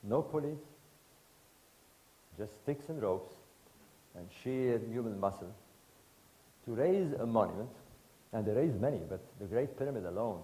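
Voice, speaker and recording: Older guy lecturing, egypt-born, deepish warm voice, punchy short phrases. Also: poor audio. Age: 60s. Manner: emphatic and rhetorical, short phrases with pauses. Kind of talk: public lecture to an audience.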